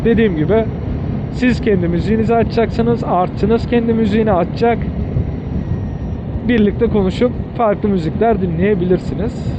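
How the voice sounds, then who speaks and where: A man with a high voice talks informally to viewers as he reviews gear, patiently restating his points. The sound is dull and muffled, with very loud vehicle and engine noise outdoors behind him. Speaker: motorcycle vlogger reviewing gear.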